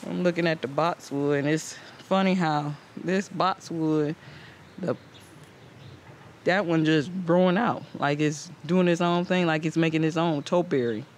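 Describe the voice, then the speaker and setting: A man's voice: on the high side, fairly even intonation, measured pace. A man giving a casual, rambling, conversational garden tour as host, recorded outdoors on a handheld camera. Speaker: host of a home gardening channel. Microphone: handheld camera microphone. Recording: outdoor ambient noise on camera mic.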